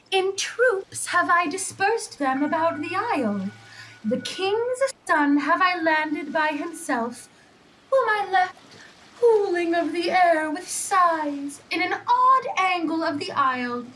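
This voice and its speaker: An eager servant sprite of the air with a very high voice. The spirit declaims Shakespearean verse slowly and theatrically for an audio drama, over faint background noise. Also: female voice, a bit bright, exaggerated voice, low-quality recording. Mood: proud, reporting.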